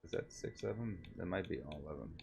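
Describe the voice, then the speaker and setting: A man giving casual gameplay commentary as a streamer, quietly, half thinking aloud. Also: sits low, fairly quick, gravelly, slightly rough, soft background music. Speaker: game streamer.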